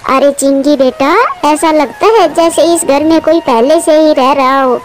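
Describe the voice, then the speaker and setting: A woman's voice: sits high, steady tone, a little slow. A woman voicing a worried, loving mother bird in a cartoon, pleading and tender.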